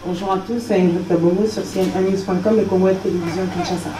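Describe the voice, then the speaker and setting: A woman journalist from France puts a polite, measured question from the floor. Her voice is lowish and mellow. It echoes in a hall from a distance, with a faint background hum. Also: fairly even intonation. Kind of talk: asking a question from the audience. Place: conference hall.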